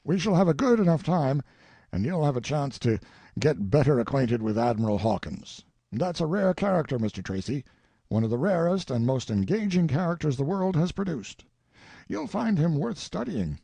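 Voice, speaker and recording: A man's voice: fairly high pitch, wildly swooping pitch, normal speed, creaky, warm tone, husky, no echo, voice pushed up, heavily acted. A man doing an effusive rambling old colonel. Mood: expansive. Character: boastful eccentric older male colonel. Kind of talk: audiobook character reading.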